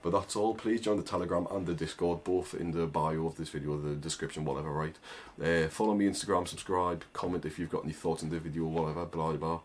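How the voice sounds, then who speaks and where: A man's voice: sits low, steady tone, quick pace, hardly any pauses. A young man with a thick northern English accent, giving rambling casual advice with lots of "like" and "right?". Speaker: agency owner vlogger. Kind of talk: casual advice talk to camera.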